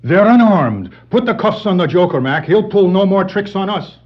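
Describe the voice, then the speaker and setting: An actor playing an agitated, earnest police officer with a husky voice in a 1960s children's record drama. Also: male voice, high-pitched, animated, moderate pace. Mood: commanding. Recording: muffled 1960s vinyl record.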